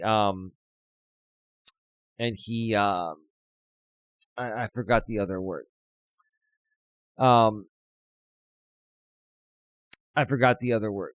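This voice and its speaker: A man's voice: fairly low pitch, no echo, poor audio. A man co-hosting a podcast, talking passionately and often restarting his words. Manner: describes himself as loud and projecting. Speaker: podcast co-host. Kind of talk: casual podcast talk.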